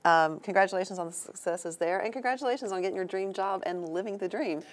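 A woman's voice: medium pitch, fast talker. Southern woman hosting a studio interview. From North Carolina. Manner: friendly, upbeat, laughs often. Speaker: talk show host. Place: TV studio set.

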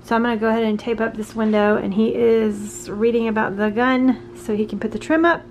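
A woman's voice: on the high side, steady tone, fairly quick, a little mellow, faint music behind. A woman in her forties chatting casually as she works on her small home kitchen, explaining each step with fillers and restarts, recorded on a camera mic. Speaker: home renovation vlogger. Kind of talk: casual DIY vlog narration.

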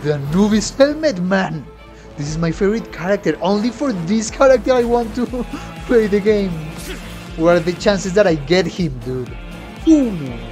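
A man's voice: high-pitched, animated, moderate pace, a little mellow. A young man, a musician, giving casual, effusive reaction commentary full of slang and swearing in a room at home. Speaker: musician reacting to game trailers. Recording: game trailer music behind. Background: game trailer music.

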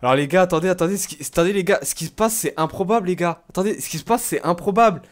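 A man's voice: high voice, very fast. A young man casually improvising in a role-play game as a streamer, insistent and repeating himself.

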